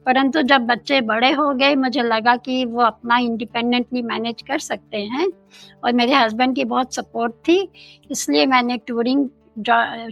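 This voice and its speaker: An older woman from India, a guest in an interview, speaking in a slow, thoughtful way and mixing in English phrases. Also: high voice, creaky, a bit bright, dry recording.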